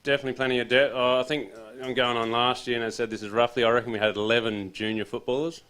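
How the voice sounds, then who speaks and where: A man's voice: mid-range voice, quick pace. A man with an Australian accent, an assistant footy coach chatting casually and rambling a little in an interview. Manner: relaxed, rambling, says he has a loud voice.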